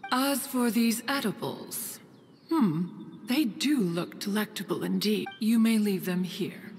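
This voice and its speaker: A woman's voice: medium pitch, slow. A voice actress playing an aloof, grandly formal female immortal who talks in lofty, archaic phrases in a video game. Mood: pleased, dignified. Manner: lofty, archaic, refers to self as "one". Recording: long reverb, soft music underneath.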